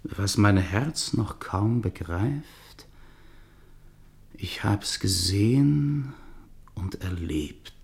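An actor playing the irritable, theatrical head of a touring troupe in a German radio drama, blustering and declamatory. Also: male voice, fairly low pitch, vocal fry, darkish tone, full character voice. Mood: solemn declaiming.

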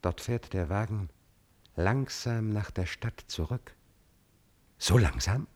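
An actor playing a cunning, servile and coldly ambitious chamberlain in a classical drama, with an insinuating, theatrical delivery and a slightly husky voice. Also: male voice, fairly low pitch, slow pace, a little mellow. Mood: watchful, brooding. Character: scheming male court chamberlain, servile, cunning, cold-bloodedly ambitious. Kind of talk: German classical stage drama acting.

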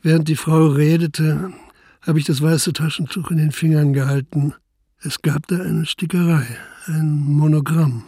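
Crime radio drama, terse middle-aged investigator, husky, measured, very dry studio sound. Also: male voice, on the high side, level tone, mellow, no room sound. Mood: sober, pensive. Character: terse, sober widowed police investigator. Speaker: police investigator. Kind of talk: radio drama acting, first-person crime narration.

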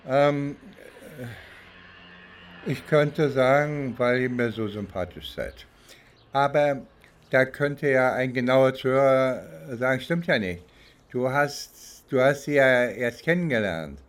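A man from East Germany, middle-aged or older, recollecting in a deliberate, halting way as he searches for words in an interview. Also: medium pitch. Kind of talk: reflective spoken recollection.

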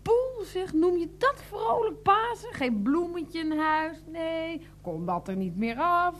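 A sharp-tongued, sarcastic wife in a radio comedy. Her voice is high. It swings widely as she jabs. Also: very animated, slow, exaggerated voice. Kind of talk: 1990s radio comedy drama.

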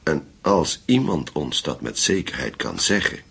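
An actor playing a grave, methodical investigator in a radio drama, with a husky voice and a measured, deliberate delivery. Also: male voice, a bass voice, sing-song, a bit bright, heavily acted. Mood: thoughtful, probing. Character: grave, methodical male investigator piecing together a wartime secret. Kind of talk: Dutch radio play acting.

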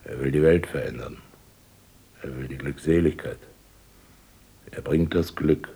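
An actor playing a rich older village miller, gruff and scheming, who rants and then broods in a radio drama. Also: male voice, unhurried, dark tone, exaggerated voice. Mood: brooding. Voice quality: gravelly, husky voice. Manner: blustering, then brooding and low.

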